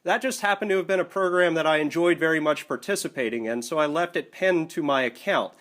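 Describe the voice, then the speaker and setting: High-pitched, formal, insistent man on phone. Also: fast talker, a bit bright. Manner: formal, wordy phrasing, insistent. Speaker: caller in a phone dispute. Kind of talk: argumentative phone conversation. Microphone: telephone. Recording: secretly recorded phone call.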